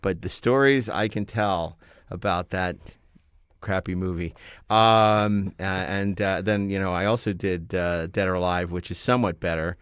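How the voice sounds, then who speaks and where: Middle aged guy hosting a film chat, bit low, jokey at his own expense, dry sound. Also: normal speed, a bit bright, clean tone, no echo. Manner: wry, self-deprecating joking. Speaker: podcast host.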